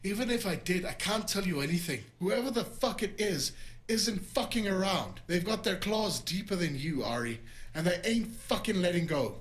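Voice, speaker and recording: A man's voice: sits high, expressive, moderate pace. A man playing a desperate yet defiant corrupt cop who is cornered, in a noir audio drama. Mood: desperate pleading. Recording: faint music behind the voice.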